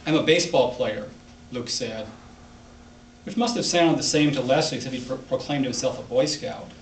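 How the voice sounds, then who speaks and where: A man's voice: mid-range voice, normal speed. A man reading his own literary short story aloud to a live audience as its author. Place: library event room, indoors. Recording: echoey room, distant mic, background noise.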